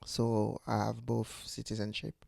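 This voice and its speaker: A woman's voice: very deep voice, completely flat delivery, measured pace, gravelly, a little mellow, dry recording. A woman in her forties from Ivory Coast, talking to camera in an unscripted, reflective way as she searches for her words. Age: 40s. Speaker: channel host, teacher. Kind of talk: unscripted personal talk to camera.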